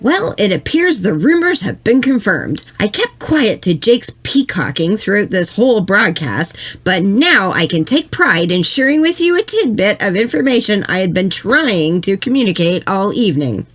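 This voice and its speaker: A woman's voice: mid-range voice, big pitch swings, normal speed, hardly any pauses. A woman playing an earnest, self-satisfied radio news anchor, speaking formally in a scripted mock broadcast. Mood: earnest, vindicated.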